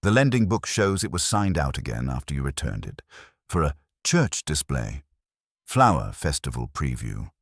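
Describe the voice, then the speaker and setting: A man voicing a calm, probing police inspector, measured and polite, in a storybook mystery. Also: very deep voice, creaky, dry recording, clean studio sound, heavily acted.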